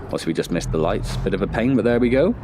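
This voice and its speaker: A man's voice: lowish voice, lively intonation, racing, gravelly, noisy background. A man with a slight head cold casually thinking aloud as he plays a driving game, hosting his own gaming channel. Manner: chatty, thinking aloud, self-deprecating asides. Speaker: gaming video host. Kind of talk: casual let's-play commentary.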